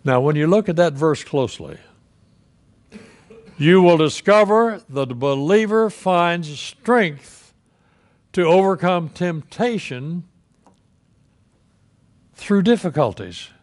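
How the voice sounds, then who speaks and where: An elderly male pastor delivers a sermon from the pulpit of a church sanctuary, with a slightly high voice. He speaks very slowly and deliberately, leaning on pauses for emphasis. The sound comes from the pulpit microphone. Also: lively intonation. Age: older.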